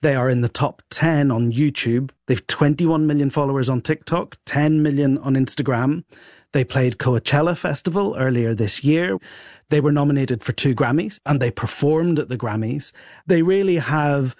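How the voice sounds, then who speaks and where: Male guest, mid-pitch, knowledgeable and keen, dry studio sound. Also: normal speed, darkish tone, no room sound. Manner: fluent, enthusiastic, informative. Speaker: music expert guest. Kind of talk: explanatory podcast chat.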